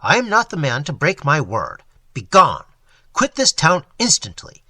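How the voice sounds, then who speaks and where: A man's voice: fairly high pitch, huge pitch swings, a little slow, sharp tone, no room sound, full character voice. A man reading a stern, menacing older judge who delivers cold, commanding threats.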